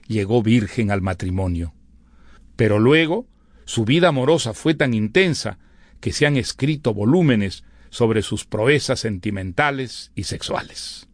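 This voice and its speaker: A man's voice: medium pitch, no echo. Eloquent male presenter, dry studio sound. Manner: measured, eloquent, formal. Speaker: presenter introducing a novel. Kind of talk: scripted literary commentary.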